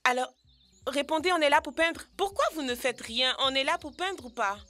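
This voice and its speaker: A woman's voice: high-pitched, normal speed, bright, forceful tone. A woman voicing a cheeky, boastful, impatient little animal in a French children's cartoon, exclamatory and teasing.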